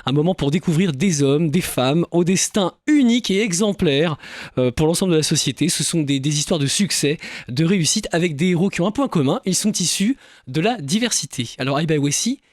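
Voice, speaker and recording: A man hosting a radio interview in a studio, brisk and chatty, steering his guest with leading questions. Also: on the high side, expressive, no echo. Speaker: radio host. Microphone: studio microphone.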